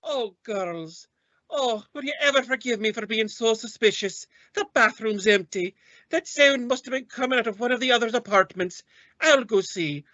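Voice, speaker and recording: A woman's voice: a little high, normal speed, fairly bright tone, no room sound, lightly acted. A woman playing a nosy, strict middle-aged landlady in an old-time radio comedy, prying and theatrical. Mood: apologetic. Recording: dull, boxy lo-fi audio.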